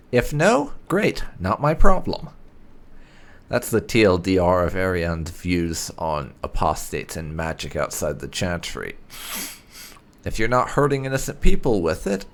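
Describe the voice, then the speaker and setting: A man's voice: fairly low pitch, fairly bright tone. A man giving casual game playthrough commentary with light roleplay, musing aloud and reacting as the host. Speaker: gaming channel host.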